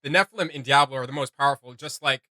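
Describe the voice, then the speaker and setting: A man hosting a reaction video, chatting casually like an excited, slangy fan. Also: mid-range voice, fast talker, no room sound. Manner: enthusiastic fan, slangy, hesitant phrasing.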